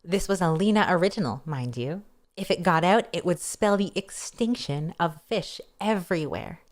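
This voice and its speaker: A woman narrating a first-person story aloud in an expressive, playful style, with wry casual asides. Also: lowish voice, moderate pace. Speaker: streamer reading a novel aloud.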